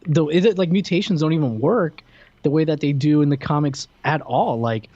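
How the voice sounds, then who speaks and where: A man's voice: on the high side, a little fast, dark tone, dry recording. Male podcast co-host on slightly dull lo-fi audio. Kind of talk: casual movie discussion chat.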